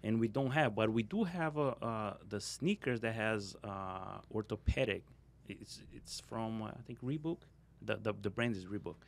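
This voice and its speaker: A man hosts a podcast, asking questions in a casual, halting way. His voice sits low and has a strong gravelly fry. He talks close to a studio mic. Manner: casual, halting, non-native phrasing. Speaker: podcast host. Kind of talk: casual interview chat. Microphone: studio mic on boom arm, headphones.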